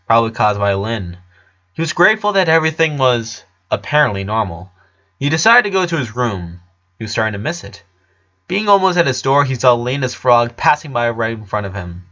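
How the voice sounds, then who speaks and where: A man's voice: mid-range voice, big pitch swings, normal speed, bright, forceful tone, muffled recording. A man reading a scripted story aloud as its narrator.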